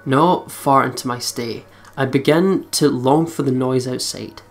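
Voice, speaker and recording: A let's play commentator reading a visual novel aloud in an expressive storytelling way, breaking off for sweary, joking asides. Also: medium pitch, measured pace, gravelly. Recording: music behind the voice. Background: game music.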